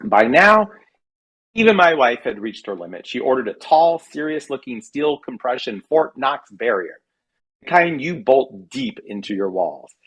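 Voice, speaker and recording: A man's voice: medium pitch, very animated, unhurried, fairly bright tone, husky, rough recording. An American man reading his humorous personal essay aloud in a wry, mock-dramatic manner. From California, United States. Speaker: writer reading own comic essay. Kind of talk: humorous storytelling read aloud.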